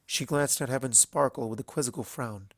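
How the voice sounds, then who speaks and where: A male narrator reads fiction with deliberate, dramatic phrasing. His voice sits in a middle register, and the recording is close and dry, with no room sound. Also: mid-range voice, no room sound. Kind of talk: scripted story narration.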